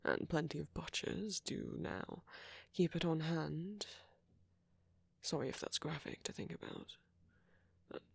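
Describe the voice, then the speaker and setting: A man acts out a friendly vampire with a gravelly, breathy voice, recorded very dry and intimate for an ASMR roleplay. He talks slowly and hesitantly, often sinking toward a whisper. His manner is flirty and apologetic, full of gentle concern and soft teasing. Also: a little high, no room sound, full character voice.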